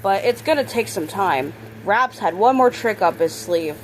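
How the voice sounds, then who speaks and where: A woman reading a children's book aloud, expressive on characters' lines and sometimes stumbling and correcting herself. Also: mid-range voice, slight background noise, low-quality recording. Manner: halting reader, stumbles and corrects words. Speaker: storybook reader.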